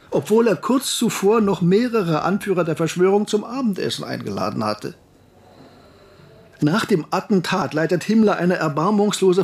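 An actor playing an anguished, embittered German professor in a radio drama, speaking insistently and defensively. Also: male voice, a little high, husky, exaggerated voice. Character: anguished, embittered male German professor recounting his past. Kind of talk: radio drama narration and dialogue.

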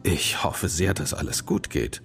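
A man's voice: rumbling low, measured pace, fairly bright tone, soft background music, exaggerated voice. An actor playing a worldly, wary older father in a crime radio play, speaking dryly and cautiously. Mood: guarded.

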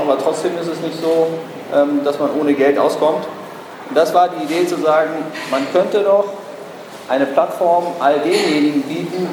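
A fairly high-voiced young man tells a story to an audience, pausing often with small fillers and dropping dry jokes. His voice rings through a big reverberant hall, with steady background noise under it. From Berlin, Germany. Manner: storytelling, frequent hesitations, wry asides. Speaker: founder giving a talk. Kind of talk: anecdotal talk to an audience. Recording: echoey hall recording with noise.

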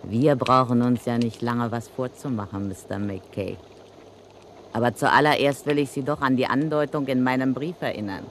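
An actress playing a brazen, street-wise blackmailing widow in a German crime radio play, mocking and slangy. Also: female voice, extremely low pitch, normal speed, a little hiss or hum. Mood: brazen, threatening. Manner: slangy, mocking, self-assured.

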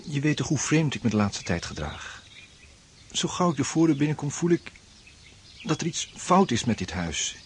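An actor playing a troubled, anxious tenant in a radio drama, earnest and hesitant. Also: male voice, a little high, very animated, normal speed, slight background noise. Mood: uneasy. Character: troubled male tenant, anxious, convinced the house is wrong. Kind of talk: Dutch radio drama acting.

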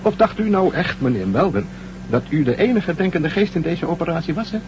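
A muffled radio drama with clear background noise. a proud, stern commander of a spaceship. He is sarcastic and authoritative, with a very varied pitch. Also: very animated, fairly quick. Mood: proud, needling. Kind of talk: Dutch radio drama acting. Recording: muffled, noisy old radio drama recording.

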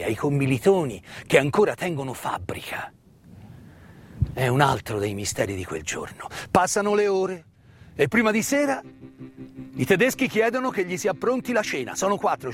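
A man giving a dramatic theatrical narration of a wartime story, measured and emphatic, with pauses and hushed phrases. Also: on the high side, sing-song, faint music behind. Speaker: stage actor and storyteller. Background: live musical accompaniment.

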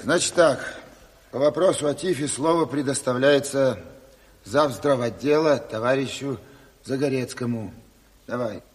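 A man's voice: medium pitch, a little slow, lightly acted. Actor as a blunt chairman in a reverberant hall. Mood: businesslike. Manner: brusque, businesslike, impatient. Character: blunt, commanding revolutionary committee chairman. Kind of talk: 1970s Soviet radio drama acting. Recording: reverberant hall, old radio play recording.

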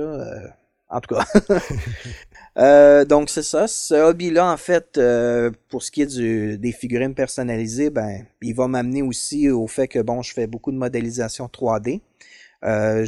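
A man's voice: medium pitch, a little mellow, no room sound. Hesitant Québécois man, dry close sound. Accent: Quebec French. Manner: hesitant, many fillers. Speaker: podcast guest. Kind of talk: casual interview chat.

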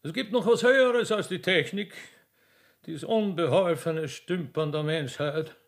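An actor playing a weary, sentimental emperor in a comic radio play, with an Austrian accent and a theatrical manner. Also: male voice, on the high side, unhurried. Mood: grandly rapturous.

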